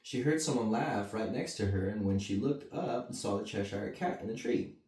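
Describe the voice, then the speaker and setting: A man's voice: fairly low pitch, normal speed, darkish tone. A man reading a bedtime story to children with casual asides. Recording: roomy echo, mic at a distance.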